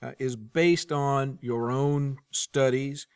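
A man interviewing a guest, putting his questions in a measured, deliberate way. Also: medium pitch, smooth, dry recording. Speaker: podcast interviewer.

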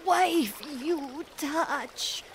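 A woman playing a desperate foreign village mother who pleads in halting, broken speech in an audio drama. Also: high-pitched, a little hiss or hum. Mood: desperate pleading. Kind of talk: full-cast audio drama acting.

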